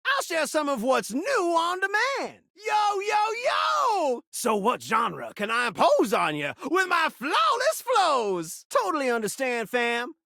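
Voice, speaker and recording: Flamboyant high-pitched talking TV showman. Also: huge pitch swings, takes it slowly, gravelly, few pauses, sharp tone, no room sound, studio quality, full character voice. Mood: smooth, boastful. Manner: theatrical TV-announcer patter, playful, dramatic. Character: flamboyant talking television set, needy, rhyming showman. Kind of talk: rhyming video game character lines.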